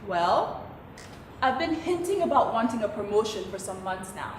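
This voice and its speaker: A woman's voice: fairly high pitch, very animated, moderate pace. A woman playing a rich businessman's ambitious, teasing daughter in a stage play, projecting sardonic banter. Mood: proud, eager. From Tanzania. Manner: sardonic sibling banter, projected theatrical delivery. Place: theatre stage, picked up from a distance. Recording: echoey theatre, distant mic, faint noise.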